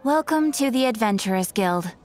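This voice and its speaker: An actress playing a courteous, composed guild receptionist in a video game, formal and businesslike. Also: female voice, fairly high pitch, animated, normal speed, darkish tone, faint music behind, natural voice.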